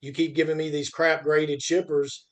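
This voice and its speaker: Male podcast host, slightly high register. Unscripted trade talk, stumbling restarts, emphatic delivery. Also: level tone. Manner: halting, repeats phrase starts; emphatic, asks and answers own questions. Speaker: podcast host and freight industry commentator. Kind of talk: unscripted industry discussion.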